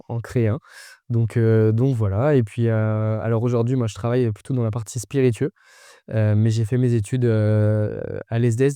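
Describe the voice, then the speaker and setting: A young man speaks in a relaxed, plain-spoken way during a casual podcast. His voice is smooth and mellow. The sound is close and dry. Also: mid-range voice, dry recording. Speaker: association founder.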